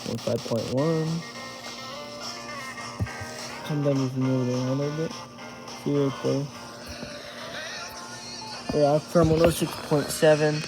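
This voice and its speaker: A man giving a casual hands-on product review, thinking aloud in a halting way as he demonstrates. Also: fairly high pitch, steady tone, over music. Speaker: amateur gadget reviewer. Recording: noisy homemade recording with radio static.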